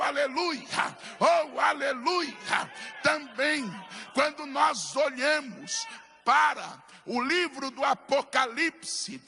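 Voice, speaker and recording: A Brazilian man preaching a fervent Pentecostal sermon as pastor, declamatory and emphatic with dramatic repetition, in a raspy, strained voice. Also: very high-pitched, bright, forceful tone. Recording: echoey hall, long reverb, music behind.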